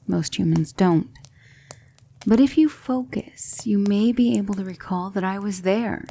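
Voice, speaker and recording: A woman playing a gentle, patient demon mentor in an ASMR roleplay, speaking softly and often in a whisper, soothing and reassuring. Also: mid-range voice, gravelly, slight background noise.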